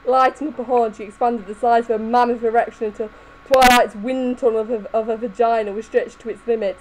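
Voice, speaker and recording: High-voiced woman reading a comic story. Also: steady tone, a little fast, background noise. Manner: giggly, often breaking into laughter. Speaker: reader. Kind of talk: comedic dramatic reading of fan fiction.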